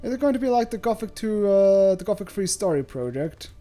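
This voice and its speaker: A male streamer from Switzerland chats casually and ramblingly as he plays. His voice is mellow and moves up and down a lot, over faint game music. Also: high voice, lively intonation, brisk. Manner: rambling, chatty, jokey swearing. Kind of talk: casual livestream commentary. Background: soft game music.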